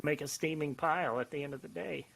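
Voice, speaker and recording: A man talking casually as a writer on a podcast, rambling and self-deprecating in an animated way. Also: on the high side, fast talker, vocal fry, rough recording. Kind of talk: casual podcast chat about writing. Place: home office, on a remote call.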